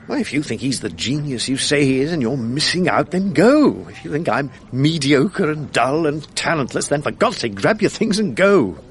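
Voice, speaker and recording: A prickly, defensive young man in a 1980s radio drama. His speech is lively and sharp, and his pitch swings widely. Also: slight background noise. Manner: sharp, irritable.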